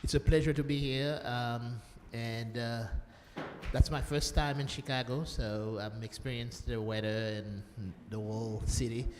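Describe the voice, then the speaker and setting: Man from Haiti, conference panelist, halting delivery with fillers, long reverb. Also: medium pitch, steady tone. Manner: hesitant, many fillers and restarts. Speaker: panelist, social entrepreneur. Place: conference hall. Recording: long hall reverb, faint room noise.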